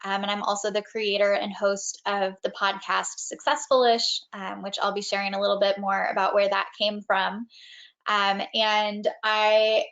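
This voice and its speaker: A woman's voice: mid-range voice, flat delivery, normal speed, gravelly, a bit bright, highs cut off. Candid woman presenting from a makeshift setup. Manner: friendly and candid, with frequent ums. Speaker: guest speaker and brand strategist. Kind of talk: webinar presentation.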